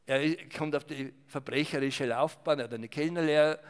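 A man's voice: fairly high pitch, moderate pace, clean tone. An older man giving a rambling talk as a historian in front of a small audience, full of fillers and restarts. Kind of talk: discursive public talk with slides. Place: salon event room with small audience. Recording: reverberant hall.

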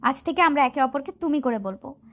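A woman acts out a feisty young college girl for a romantic audio story. Her voice sits high, and she moves between bossy scolding and lovelorn pleading. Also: animated, fairly quick.

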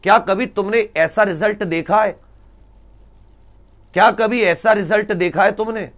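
A man's voice: high voice, measured pace, a bit bright. A man, lecturing informally as a coaching teacher, exasperated and repeating his points with rhetorical questions. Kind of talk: informal lecture explaining exam cut-offs to students. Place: indoor teaching studio at a large digital board. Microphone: lapel mic.

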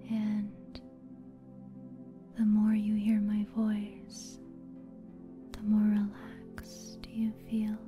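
A woman whispers softly and very slowly in a deeply mellow, breathy voice. Her delivery stays extremely even and soothing, in the style of an ASMR sleep meditation host. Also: faint music behind. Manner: slow, soothing, deliberate.

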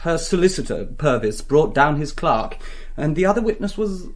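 A man's voice: a little high, big pitch swings, normal speed. An actor playing a flippant, roguish young upper-class Englishman in a radio play, breezy and given to understatement.

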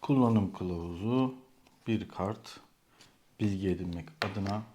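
A man's voice: deep voice, unhurried, noisy background. A man hosting a motorcycle vlog, giving a casual, explanatory product review and thinking aloud as he goes. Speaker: motorcycle vlogger hosting own channel.

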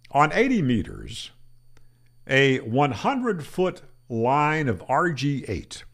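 An older man, a ham radio educator, explains antenna myths to camera in a matter-of-fact, debunking tone, asking himself rhetorical questions, speaking into a boom-arm microphone in a small room. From Florida. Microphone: studio microphone on boom arm.

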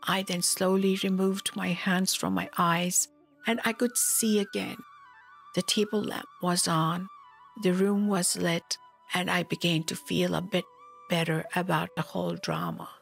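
A woman narrates a memoir with slow, deliberate delivery. Her voice has a gravelly fry at the edges, and she keeps a solemn tone over a musical backing. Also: on the low side, flat delivery. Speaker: spiritual teacher, narrator. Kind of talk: scripted memoir narration, read aloud. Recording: music behind the voice.

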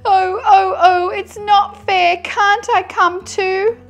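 A woman's voice: high voice, very animated, slow pace, fairly bright tone, pitched above the performer's own voice, full character voice. A woman voicing a vain, chatty, melodramatic male tortoise in a storybook tale, with a wheedling manner. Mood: wailing, tearful. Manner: talkative, wheedling, dramatic.

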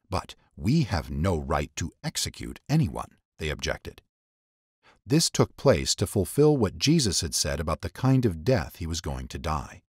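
A man narrating scripture as a dramatic scripted reading, in a measured storytelling manner with phrases set apart. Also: sits low, slightly rough, dry recording, clean studio sound.

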